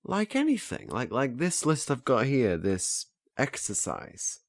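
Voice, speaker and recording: Hesitant, stammering, slow-talking earnest man played by an actor. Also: medium pitch, wildly swooping pitch, vocal fry, dry recording, heavily acted. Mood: earnest, explaining. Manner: hesitant, stammering, restarts phrases. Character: earnest, flustered male learner eager to master memory techniques. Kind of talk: naturalistic audio drama dialogue.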